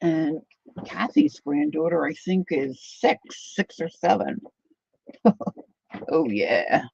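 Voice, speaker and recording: An older woman chatting casually to viewers while she crafts, thinking out loud as she works. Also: sits low, sing-song, slow pace, gravelly, slightly rough. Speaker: craft livestreamer. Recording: dull lo-fi dry sound.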